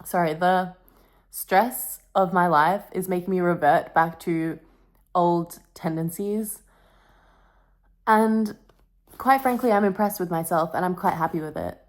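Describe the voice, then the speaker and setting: A woman's voice: on the low side, a little slow, pauses often. A young woman vlogging in a casual, confessional way, with a melodramatic, wry and self-mocking manner. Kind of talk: casual confessional vlog with dramatic book reading.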